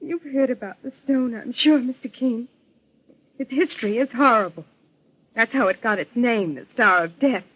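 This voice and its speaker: Anxious bereaved daughter, husky, slightly high voice, American accent, earnest polite dramatic delivery, old radio melodrama. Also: female voice, moderate pace, a bit bright, muffled recording. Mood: grave, distressed. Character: anxious, bereaved, well-bred daughter of a murdered man. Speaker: radio actress.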